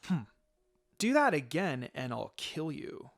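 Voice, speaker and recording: A man voicing a spirited young female ninja in a story, expressive and quick to tease or snap. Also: on the high side, vocal fry, soft background music, heavily acted. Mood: angry.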